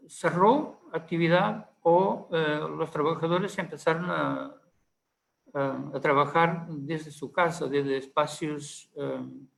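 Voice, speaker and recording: A man's voice: fairly high pitch, gravelly, a bit bright, low-quality recording. A man speaking Spanish with a Portuguese accent, a panelist giving a conference talk, hesitant with many fillers and restarts.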